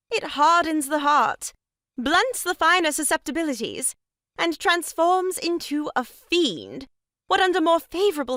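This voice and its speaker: A woman's voice: sits high, bright, forceful tone, no room sound, studio quality, exaggerated voice. An actress playing a meddling, pompous woman in a stage farce, declaiming with melodramatic grievance. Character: meddling, theatrically aggrieved sister-in-law, grandly moralizing woman. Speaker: voice actor. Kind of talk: stage farce audio drama acting.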